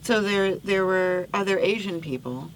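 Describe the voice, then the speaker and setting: A woman's voice: mid-range voice, a little slow, a little hiss or hum, rough recording. A woman hosting a podcast who asks curious, prompting questions and answers with short affirmations. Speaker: podcast host. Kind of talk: casual interview chat.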